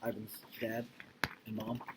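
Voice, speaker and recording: A man reading a picture book aloud to children like a teacher, expressive and deliberate, with informal explanatory asides. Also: fairly low pitch, noisy background.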